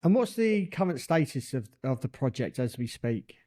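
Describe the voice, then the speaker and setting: A man's voice: medium pitch, very animated, moderate pace, warm tone. Man hosting, hesitant questions. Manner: hesitant, filler-led questions. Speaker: podcast host, interviewer. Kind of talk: asking interview questions.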